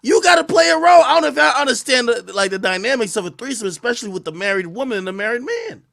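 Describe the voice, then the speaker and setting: A man streaming a live reaction, talking in an animated, profane, loud way with bursts of laughter. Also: very high voice, fast, gravelly.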